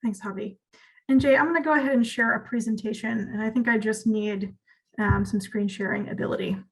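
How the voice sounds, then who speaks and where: A woman with a fairly high voice presents to an online audience. She sounds upbeat and friendly and fills her sentences with ums, heard through video call audio. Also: steady tone, fairly quick, vocal fry, husky, low-quality recording. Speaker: community outreach presenter.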